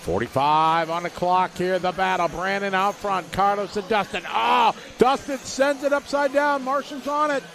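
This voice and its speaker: A man calling a radio-controlled car race live, giving quick play-by-play and reading out viewer messages. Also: sits high, creaky, a bit bright. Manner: rapid play-by-play, reads viewer chat aloud. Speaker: race commentator.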